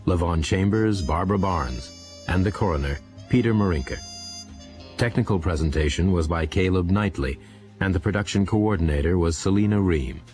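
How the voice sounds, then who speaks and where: A man's voice: low-pitched, moderate pace, darkish tone, faint music behind. A man reading scripted broadcast credits as a radio announcer.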